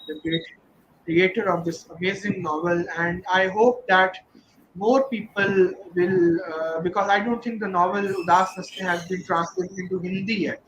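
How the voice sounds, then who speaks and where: A man's voice: high-pitched, a bit bright, slight background noise, rough recording. A man speaking as a guest on a video call, talking in a hesitant, rambling way with many fillers. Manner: hesitant, frequent "you know" and "uh". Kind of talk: conversational talk at a virtual event.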